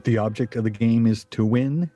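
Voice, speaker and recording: An actor playing a competitive, irritable husband in a radio play, with a testy, literal-minded manner. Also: male voice, medium pitch, fairly even intonation, normal speed, warm tone, faint music behind, lightly acted. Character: competitive, irritable husband who plays to win.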